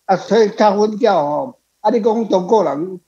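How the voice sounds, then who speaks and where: Taiwanese male guest, high creaky voice, rambling casual explainer, slightly lo-fi with faint music. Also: moderate pace, dark tone. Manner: repetitive, rambling. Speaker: panel guest, author responding.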